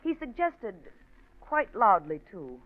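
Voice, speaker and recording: Sardonic woman journalist for old radio crime drama; pointed, animated, muffled period recording. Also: mid-range voice, slow. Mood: dryly accusing. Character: knowing, sardonic woman newspaper colleague, quietly accusing. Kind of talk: 1940s radio mystery acting.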